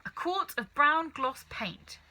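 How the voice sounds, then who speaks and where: A woman's voice: sits high, expressive, slow, sharp tone, voice pushed up, heavily acted. A woman voicing an earnest, eager young boy in a children's story, matter-of-fact in manner.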